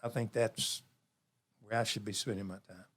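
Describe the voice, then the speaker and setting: Interview guest: man, seventies, lowish voice, reflective and measured, pauses between thoughts. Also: steady tone, a bit bright, breathy edge. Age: 70s. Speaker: interview guest, community program leader.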